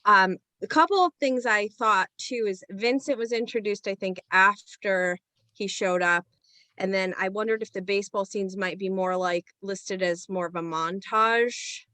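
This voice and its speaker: A woman's voice: mid-range voice, moderate pace, pushed and bright, no echo. A woman on a reading panel, giving casual feedback in informal, hesitant phrasing.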